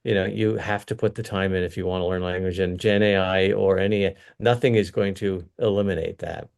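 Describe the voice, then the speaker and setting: A man's voice: low voice, steady tone, fairly quick, gravelly, a little mellow, smooth. A middle-aged man, a company executive, giving earnest, rambling interview answers full of restarts and fillers.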